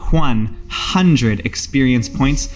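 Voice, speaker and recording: A man's voice: medium pitch, slow, faint music behind. A man narrating a tabletop game as game master and podcast host.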